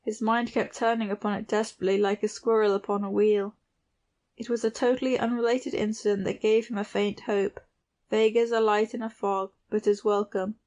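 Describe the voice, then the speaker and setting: A woman's voice: medium pitch, little pitch movement, fairly quick, a little mellow. A woman reading literary fiction aloud as a scripted audiobook narrator.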